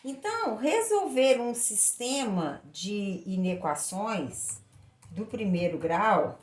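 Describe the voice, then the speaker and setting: A woman's voice: mid-range voice, sing-song, slow. A woman with a Brazilian accent explaining a lesson step by step as a maths teacher, in a didactic way with repeated phrases. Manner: didactic, repeats phrases and checks in with short tag questions.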